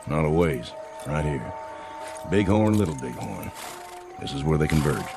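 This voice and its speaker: Laconic frontier scout. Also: male voice, rumbling low, animated, normal speed, creaky, music in the background, exaggerated voice. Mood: wary. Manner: laconic, dry. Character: weathered frontier army scout, dry and wary. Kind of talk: radio drama acting.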